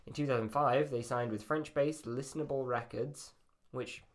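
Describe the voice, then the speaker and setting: A man's voice: mid-range voice, steady tone, normal speed. A man hosting a podcast in a casual, rambling and self-deprecating way. His voice sounds slightly feminine. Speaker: podcast host. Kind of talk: casual chat.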